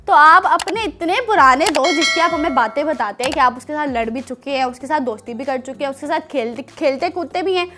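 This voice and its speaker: A woman presenting a children's show in a chatty, teasing, animated way, talking to children and telling stories. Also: high voice, fairly quick, hardly any pauses, over music.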